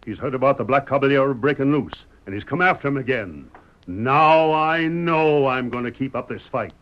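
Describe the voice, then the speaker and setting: A man's voice: mid-range voice, normal speed, lightly acted. An actor playing a stubborn middle-aged frontier father in a radio western, with a wry, folksy Western American drawl. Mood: stubborn, confident. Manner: folksy, sly, drawling needling. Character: stubborn, wry Old West father, sheriff candidate. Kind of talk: old-time radio western acting. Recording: dull, muffled old radio recording.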